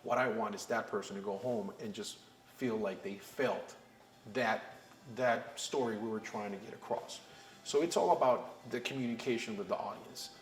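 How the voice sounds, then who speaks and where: A man's voice: fairly low pitch, normal speed. A young man from Costa Rica, a blues band frontman, talking earnestly and reflectively in a documentary interview. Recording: roomy echo with faint music.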